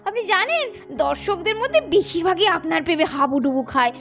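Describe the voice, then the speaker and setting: A woman narrating a doll cartoon and voicing the young women in it, brisk and expressive. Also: very high-pitched. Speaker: narrator and voice of many dolls.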